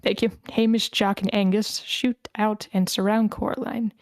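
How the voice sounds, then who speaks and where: A woman's voice: mid-range voice, level tone, normal speed, no echo, studio quality. A woman reading screenplay scene directions aloud as the narrator of a table read.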